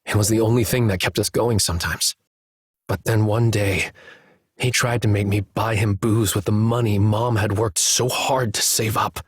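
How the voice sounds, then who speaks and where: An actor playing a somber, haunted young man in a video game cutscene, speaking in an earnest, subdued and measured way. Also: on the low side, steady tone, husky, no echo, clean studio sound. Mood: somber, bitter.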